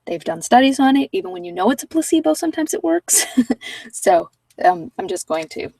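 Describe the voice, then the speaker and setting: A soft-spoken woman chatting casually as host of a guided ASMR meditation, rambling with pauses and sometimes dropping near a whisper. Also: mid-range voice, big pitch swings, vocal fry, far from the mic.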